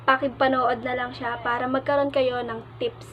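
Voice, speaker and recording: A woman from the Philippines with a Filipino accent, chatting casually and in an animated, informal way as a vlogger. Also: high voice, normal speed, highs cut off, a little hiss or hum. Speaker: vlogger, prank caller.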